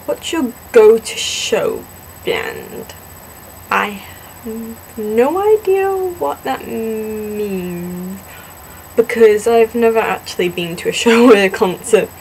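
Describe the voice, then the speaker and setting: Young woman, slightly high voice, slow unhurried talk, self-deprecating chatty vlog, faint background hiss. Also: expressive, darkish tone, low-quality recording. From born in Singapore, grew up in the southwest US. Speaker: vlogger in a fan collab channel. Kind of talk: casual chat answering questions to camera.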